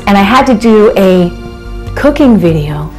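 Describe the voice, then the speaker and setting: A woman speaks casually in an interview, leaning on fillers as she recounts her own experience. Her voice sits at an ordinary middle pitch, and soft music plays underneath. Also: lively intonation, a little slow. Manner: conversational, many fillers like "you know" and "uh". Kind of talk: personal account in an interview.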